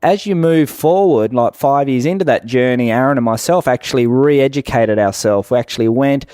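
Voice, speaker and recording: A middle-aged man with an Australian accent speaks as an interview guest. His pitch is average and his delivery is measured and explanatory, like someone recounting a career. The recording is close and dry. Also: mellow, no room sound. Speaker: guest, business owner. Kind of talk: conversational radio interview, explanatory.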